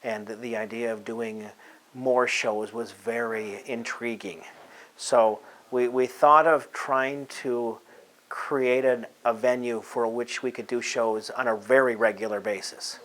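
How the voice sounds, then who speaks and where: A middle-aged American man talking in a halting, thoughtful way in a casual interview. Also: medium pitch, fairly even intonation, fairly bright tone. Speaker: theatre producer and stage actor.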